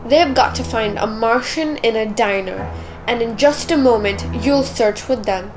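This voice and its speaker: A woman giving dramatic, measured scripted narration for a school radio play. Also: a little high, noisy background.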